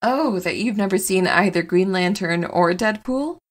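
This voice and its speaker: An actress playing a nonchalant, teasing wife who is secretly a superstrong heroine, in an audio comedy drama. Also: female voice, medium pitch, normal speed, close to an everyday voice.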